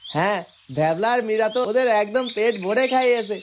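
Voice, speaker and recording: A man's voice: high voice, a little fast, close to an everyday voice. A man playing a humble, loyal street-snack seller in a cartoon, earnest and admiring. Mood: contented. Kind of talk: cartoon fairy-tale dialogue. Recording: noisy, with clicks and birdsong.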